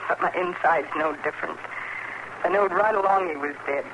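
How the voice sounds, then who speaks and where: An actress playing a grieving, stoic frontier farm widow with a rural American accent, brooding and plain-spoken in an old radio western. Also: female voice, lowish voice, normal speed, lightly acted. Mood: stoic grief. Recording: noisy old radio drama recording.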